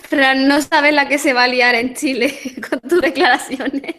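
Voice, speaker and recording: Woman, Spanish accent from Spain, high voice, encouraging interview host. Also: fairly even intonation, measured pace, fairly bright tone. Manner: attentive, encouraging, interjects with short replies.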